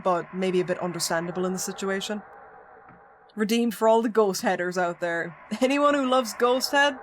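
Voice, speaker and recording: A woman streamer chatting casually with her viewers during a live stream of a visual novel, animated and reactive. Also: mid-range voice, slight background noise.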